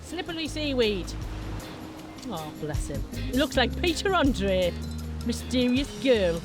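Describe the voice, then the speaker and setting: A woman on a family holiday, excitable and teasing. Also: fairly high pitch, slow pace, music in the background. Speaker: wife and carer.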